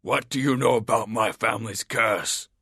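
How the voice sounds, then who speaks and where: A brooding executioner acted by a man speaks in a low, husky voice with a forceful edge. He is terse and suspicious, a menacing big figure from an audio drama. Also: moderate pace, no room sound, studio quality, heavily acted. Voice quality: husky, rough. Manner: terse, intense, challenging.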